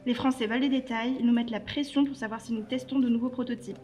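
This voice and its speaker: A woman's voice: a little high, fairly even intonation, quick pace, a little mellow, close to an everyday voice. A woman playing a stern, impatient intelligence chief in a French audio drama, brusque and authoritative. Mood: irritated, commanding. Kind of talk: French audio fiction dialogue.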